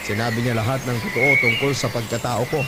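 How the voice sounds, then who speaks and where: Male storyteller over loud music. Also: mid-range voice, steady tone, quick pace. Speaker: story narrator. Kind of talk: scripted first-person dramatic narration. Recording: loud music behind narration.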